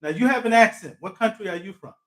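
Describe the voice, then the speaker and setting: A man's voice: high voice, lively intonation, fast, fairly bright tone. Thoughtful male talk show host. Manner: thoughtful, with frequent fillers and reflective asides. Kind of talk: live talk show interview.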